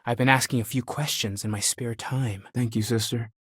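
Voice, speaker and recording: A man's voice: medium pitch, steady tone, normal speed, slightly rough, no echo, studio quality, natural voice. A man playing an earnest, bookish young scholar and explorer in a video game, speaking in a thoughtful, conversational way. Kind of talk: video game character dialogue.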